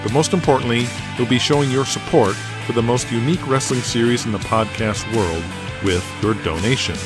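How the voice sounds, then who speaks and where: A man reading scripted narration for an audio drama. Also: medium pitch. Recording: music bed behind voice. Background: music.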